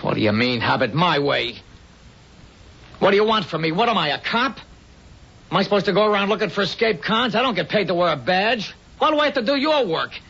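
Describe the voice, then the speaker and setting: A husky young New hoodlum for radio drama, pitched fairly high and swinging up and down. He is cocky and surly, throwing out slangy wisecracks with open defiance. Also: sing-song, a little fast, fairly bright tone, heavily acted. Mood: angry, defiant. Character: cocky, surly young street tough, small-time thief. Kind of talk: old-time radio drama acting. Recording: dull lo-fi radio recording.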